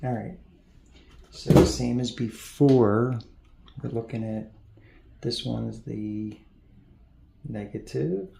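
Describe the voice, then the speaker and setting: A man's voice: mid-range voice, takes it slowly, creaky, pauses often. A man repairing electronics at a home workbench, thinking aloud and mumbling as he works. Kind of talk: casual workbench narration. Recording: echoey room, loud background noise.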